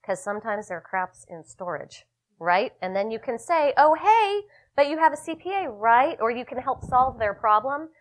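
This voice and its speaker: Upbeat woman coaching a workshop room. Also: on the high side. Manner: upbeat, coaching, calls on participants. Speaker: workshop trainer. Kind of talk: live workshop talk to a room.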